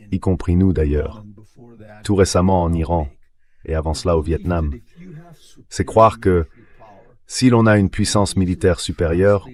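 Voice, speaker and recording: A man with a deep, very low synthetic voice speaks as an interview guest. He gives political analysis in a translated, dubbed delivery. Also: a little mellow.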